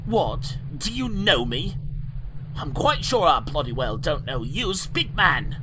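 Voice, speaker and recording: Over loud ambient noise, a panicky Victorian gentleman acted by a male narrator cries out in a raised, high-pitched voice. He is indignant and theatrical, in the manner of an old horror radio play. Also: very animated, takes it slowly. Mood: terrified, indignant. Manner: shouted, high-strung, theatrical exclamations. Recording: noisy recording with loud ambient rumble.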